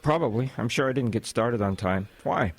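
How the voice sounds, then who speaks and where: Friendly trucking boss, husky male voice with lively, swinging pitch, audio drama dialogue. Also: normal speed. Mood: puzzled, probing.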